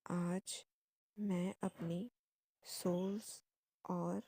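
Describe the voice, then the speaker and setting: An Indian woman leads a guided meditation in a soft, husky, breathy voice. Her tone is mellow and hushed, recorded close and dry. She places short phrases between long pauses. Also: lowish voice, level tone, no echo.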